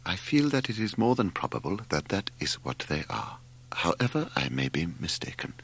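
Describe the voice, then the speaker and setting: A man voicing a shy, learned bearded naturalist in an audiobook, speaking slowly and meticulously with hesitant ums and pauses. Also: low voice, very animated, slightly rough, exaggerated voice. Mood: thoughtful, tentative.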